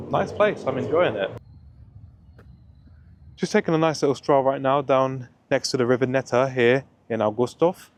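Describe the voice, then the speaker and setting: A young man with a deep voice, chatting casually in a rambling way as he vlogs a walk outdoors through a town. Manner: relaxed, rambling, many fillers. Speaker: travel vlogger. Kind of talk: casual walking vlog. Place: outdoors in a town square and park.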